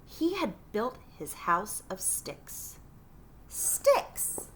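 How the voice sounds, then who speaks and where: A cheeky storybook wolf, played by a woman reading aloud, pleads his case with swooping, animated pitch. The voice is bright and forceful, folksy and full of exaggerated indignation. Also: extremely slow, heavily acted, poor audio. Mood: wry, matter-of-fact. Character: cheeky self-pitying wolf telling his own story. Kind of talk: animated children's read-aloud.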